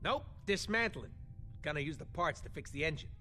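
A man's voice: a little high, animated, brisk, pushed and bright, soft background music. An actor playing a wisecracking, grumpy adult male raccoon mechanic in a video game, talking in a brash, sarcastic way.

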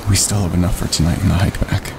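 Low gravelly camping guy, steady photographer type, few words, low-key audio drama, noisy outdoors behind. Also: fast talker. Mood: calm, low-key. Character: steady, concerned male wilderness photographer, the woman's camping partner. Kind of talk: naturalistic audio drama dialogue.

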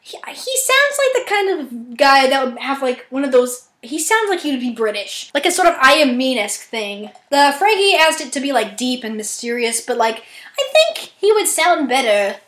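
A young woman chatting casually and self-deprecatingly between audition takes, with a giggly, excitable manner. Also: high voice, moderate pace, reverberant. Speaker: amateur voice actor auditioning.

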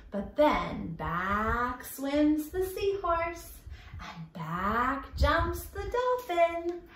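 Woman doing kids storytime, talks slow and super sing-songy, echoey room, really encouraging. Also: high voice. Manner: animated, encouraging, exaggerated expression for young children. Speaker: children's library storytime host. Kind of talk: children's storytime presentation. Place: small indoor room, echoey.

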